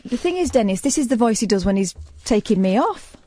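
A woman's voice: fairly high pitch, big pitch swings, brisk, crowd noise. A woman co-hosting a late-night radio show, teasing the host in a dry way. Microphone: studio microphone.

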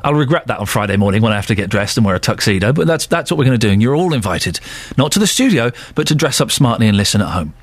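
Animated, quick-talking English male radio host. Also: mid-range voice, slightly rough, no room sound. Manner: dry, teasing, mock-serious. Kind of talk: casual radio banter. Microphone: close studio microphone.

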